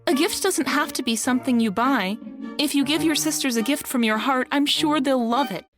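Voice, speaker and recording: A woman voicing a kind mother iguana in a children's storybook, gently reassuring and encouraging. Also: high-pitched, moderate pace, soft background music, pitched above the performer's own voice, close to an everyday voice.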